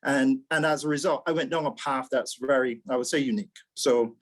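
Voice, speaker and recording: A man's voice: medium pitch, a little fast. A man, speaking as a mentor on a video call panel and often repeating words while he thinks. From Barbados. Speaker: mentor, actuary executive. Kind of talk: casual panel talk.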